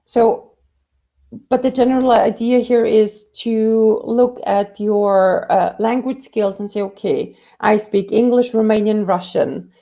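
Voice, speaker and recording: A woman's voice: on the high side, steady tone, slow pace, creaky, warm tone, muffled recording. A woman career coach answering viewer questions in a conversational way, with many fillers and restarts. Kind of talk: live Q&A career advice.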